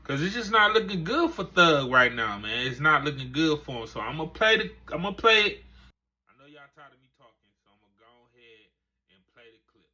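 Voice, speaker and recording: A man's voice: fairly high pitch, sing-song, fairly quick, pushed and bright. A man hosting a casual commentary video, rambling with lots of filler phrases. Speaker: commentary channel host.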